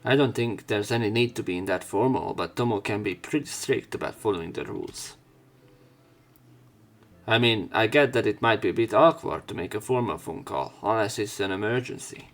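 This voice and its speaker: A young man narrating a visual novel let's play, reading the story plainly and getting louder when voicing the hero. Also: lowish voice, moderate pace. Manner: reads narration plainly, jumps up louder for the hero's lines.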